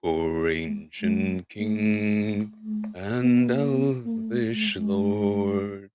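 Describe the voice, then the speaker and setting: A solemn storybook dwarf acted out by a man sings in long, drawn-out notes. The tune wanders off-key across a wide range. It sounds amateur and dry, without room sound. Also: fairly low pitch, lively intonation, darkish tone, no room sound. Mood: solemn singing. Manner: slow, drawn-out, off-key chanting. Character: band of deep-throated male dwarves singing solemnly. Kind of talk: amateur sung storybook performance.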